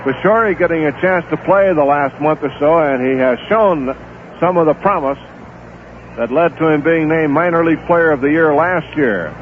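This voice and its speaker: Tinny 1970s radio baseball announcer, man. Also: slight background noise. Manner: steady, unhurried play-by-play with stats between pitches. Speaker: play-by-play announcer. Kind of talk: live baseball radio commentary.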